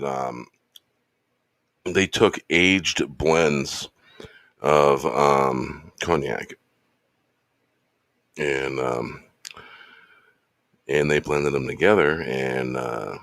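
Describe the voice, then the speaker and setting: A man hosting his show in a laid-back, rambling casual chat, slightly hoarse from losing his voice. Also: a bass voice, a bit bright, no echo, clean studio sound. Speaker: show host. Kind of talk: casual chat while drinking.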